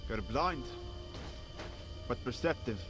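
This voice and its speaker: An actor playing a wounded shepherd in an audio game, guarded and terse, with a dry, wry delivery. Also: male voice, mid-range voice, expressive, slow pace, fairly bright tone, soft background music.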